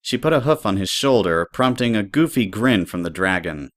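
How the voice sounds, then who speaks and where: A woman's voice: very deep voice, lively intonation, normal speed, no room sound, studio quality. A woman narrator reading scripted story prose for an audio drama.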